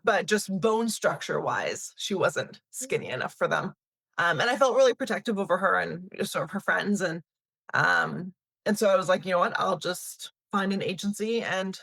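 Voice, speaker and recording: A woman's voice: on the low side, vocal fry, a bit bright, dry recording. A woman in her thirties who grew up in Canada, casually answering interview questions with many fillers and restarts. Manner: chatty, many fillers and restarts, laughs lightly. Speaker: talent agency CEO.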